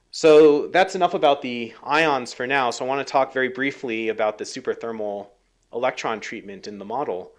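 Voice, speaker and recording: A man giving a technical research lecture, halting and full of ums and restarts, his voice a little worn. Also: medium pitch. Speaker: scientist giving a research talk.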